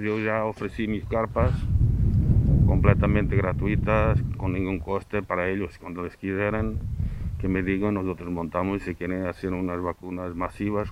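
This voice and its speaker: Older man from Portugal, circus presenter; very low voice, earnest, repeats himself, dull recording. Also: a little hiss or hum. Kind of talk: casual interview answers.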